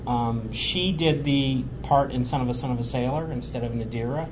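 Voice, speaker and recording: Chatty podcast host over background noise. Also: male voice, medium pitch, moderate pace. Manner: conversational, hesitant with fillers, reads a prepared roundup. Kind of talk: casual podcast chat and show recap.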